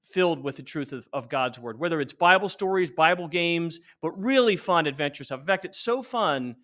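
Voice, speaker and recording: Male interview guest, middle-aged, fairly high voice, emphatic and conversational founder pitch. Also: animated, moderate pace, a bit bright.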